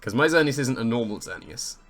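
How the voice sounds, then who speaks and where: A man with a slightly hoarse, croaky voice commentates his own competitive match in casual play-by-play, thinking aloud, weighing his options and amused at his own plays. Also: mid-range voice, expressive, fairly quick, a bit bright, faint music behind.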